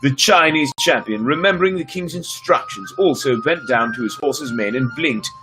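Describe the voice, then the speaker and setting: A man's voice: mid-range voice, lively intonation, normal speed, over music, low-quality recording. A man reading stories aloud on a livestream in a theatrical, playful manner, with chatty asides. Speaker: storyteller and livestream host. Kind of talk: dramatic fairy tale reading with chatty asides.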